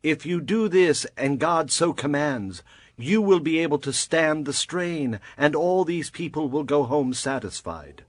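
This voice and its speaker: Emphatic older patriarch giving counsel. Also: male voice, on the high side, animated, measured pace, no echo. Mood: firm advice. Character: earnest older father-in-law, wise, caring, firm advisor. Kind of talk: dramatized scripture acting.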